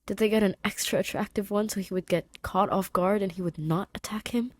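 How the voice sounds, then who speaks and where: A woman's voice: medium pitch, fairly quick, no echo. A woman narrating a scripted story expressively as a reader of fan fiction.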